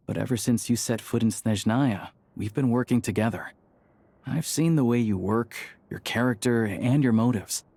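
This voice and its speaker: An actor playing an easygoing, discreet young man in a secret group, speaking in a measured, reassuring way in a video game. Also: mid-range voice, a little mellow, slight background noise, lightly acted.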